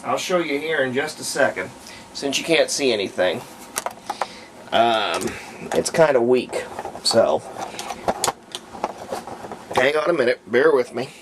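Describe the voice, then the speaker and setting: A man narrating casual hands-on repair work, thinking aloud in short, halting phrases. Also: medium pitch, lively intonation, background noise. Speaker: hobbyist demonstrating antique radio repair. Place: room at home, indoors.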